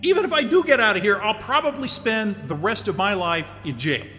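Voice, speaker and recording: A man's voice: sits high, lively intonation, fairly quick, sharp tone, in a large hall, faint music behind. A man playing a weary, sarcastic and short-tempered lead, talking in an audio drama. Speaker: lead character.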